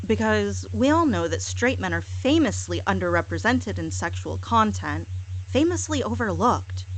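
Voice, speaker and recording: A woman with a somewhat high voice performs a scripted satirical monologue. Her delivery is deliberate and sarcastic, dressed up as contrition. She repeats phrases for emphasis and leaves dramatic pauses between them. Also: fairly bright tone, rough recording. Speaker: hypnosis audio creator.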